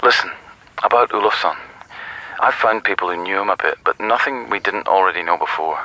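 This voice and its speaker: A man's voice: low-pitched, fairly quick, bright, forceful tone, slight background noise, poor audio. A man playing a curt, dry, blunt police detective in a radio drama. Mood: matter-of-fact.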